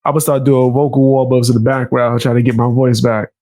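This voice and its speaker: A man's voice: mid-range voice, fast, no echo. A congested man with a New York accent, commentating casually, talking through a stuffy nose and joking with his chat. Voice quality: nasal. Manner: animated, jokes with live chat. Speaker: commentary streamer.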